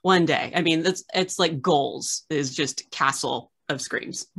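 A woman's voice: sits low, slow pace, a bit bright, husky. A woman in her thirties hosting a casual video-call interview from home, chatty with lots of filler uhs and self-deprecating jokes. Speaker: host. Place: home room with framed art on the wall.

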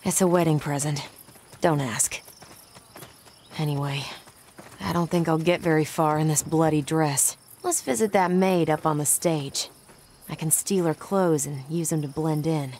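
Low-voiced, defiant runaway bride. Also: female voice, a little hiss or hum. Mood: brisk, dry. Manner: brisk, sharp-tongued, self-assured. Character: tough, brisk, defiant young runaway bride in the West. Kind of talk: video game cutscene acting. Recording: music under the dialogue.

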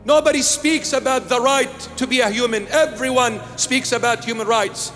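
A man's voice: very high-pitched, steady tone, normal speed, a bit bright, in a large hall, soft background music. An older man, born in Iraq, preaching an emphatic sermon into a handheld microphone in a small church, repeating key phrases. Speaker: bishop. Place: small church with altar and candles.

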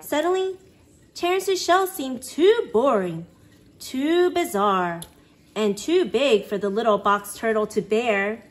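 A woman's voice: on the high side, expressive, slow, slight background noise. A woman reading a children's picture book aloud expressively, acting out exclamations and sound effects. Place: living room at home.

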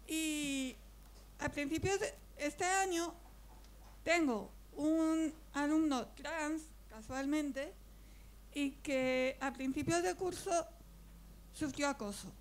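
A woman asking a spontaneous question from the audience at a public event, halting and pausing between phrases. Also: high voice, slight background noise. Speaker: audience member, secondary school teacher.

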